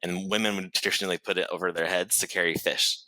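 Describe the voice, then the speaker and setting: Podcast guest, man with a Boston accent: deep, chatty, fast, lots of fillers. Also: steady tone, pushed and bright, no echo, rough recording. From New Bedford, Massachusetts, USA. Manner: rapid, chatty storytelling with many fillers and restarts. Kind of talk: casual interview chat.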